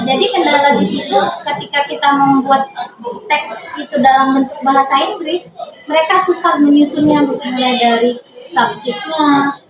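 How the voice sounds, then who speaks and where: A woman with a high voice gives explanatory answers as a teacher. She goes step by step, somewhat hesitantly, in a roomy recording with clear background noise. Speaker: English teacher being interviewed. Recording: echoey room, distant mic, background noise.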